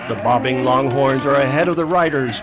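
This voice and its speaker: A man's voice: medium pitch, brisk, noisy background. A man delivering dramatic scripted narration in the manner of a 1930s radio adventure.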